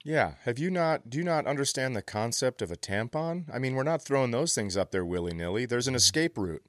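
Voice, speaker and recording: A man's voice: mid-range voice, lively intonation, brisk, no echo. A man co-hosting a comedy podcast, riffing in casual, profane, crude chat.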